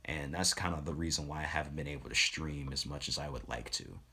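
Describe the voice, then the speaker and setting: A young man who does voice acting, telling a personal story in a relaxed, reflective vlog with lots of fillers. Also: very deep voice, fast, breathy edge. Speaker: voice actor and streamer.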